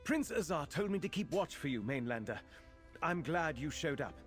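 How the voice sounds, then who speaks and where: A man's voice: fairly high pitch, expressive, moderate pace, soft background music, close to an everyday voice. An actor playing an earnest fantasy game watchman who gives formal briefings to newcomers. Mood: urgent welcome. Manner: formal, expository delivery. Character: earnest male fantasy islander watchman rallying help against a cult. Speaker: quest-giving non-player character in a fantasy game. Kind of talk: scripted game dialogue.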